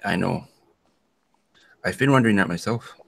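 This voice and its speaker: A man's voice: low voice, moderate pace, gravelly, a bit bright, breathy edge. An older man, a host of a weekly video hangout, chatting in a joking, playful way. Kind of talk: casual video call chat.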